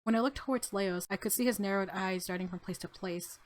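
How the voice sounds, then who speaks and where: A woman's voice: mid-range voice, fairly quick, low-quality recording. A woman hosting a let's play, reading visual novel narration aloud expressively and adding informal reactions. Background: game music.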